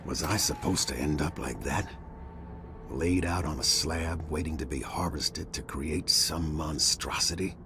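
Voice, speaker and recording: An actor playing a grim, defiant American football player, narrating a game story in a brooding, hard-boiled way. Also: male voice, very deep voice, fairly even intonation, measured pace, very noisy. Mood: grim resolve. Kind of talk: scripted noir-like game narration.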